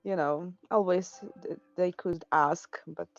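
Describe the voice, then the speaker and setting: A woman from Poland with a Polish accent, talking slowly and haltingly with many restarts in casual chat on a game stream. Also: on the low side, steady tone, music in the background. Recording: dull lo-fi stream audio.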